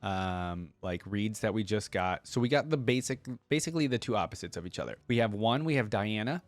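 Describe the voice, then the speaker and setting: A man's voice: on the low side, animated, a little fast, no echo. A man hosting a reaction video, reading story text aloud and commenting casually. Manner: informal, thinking aloud.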